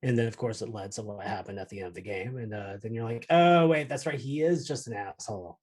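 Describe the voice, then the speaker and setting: A man's voice: lowish voice, fast talker, a little mellow, husky. A man chatting casually as a podcast panelist, emphatic and prone to swearing. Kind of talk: casual chat about films and games.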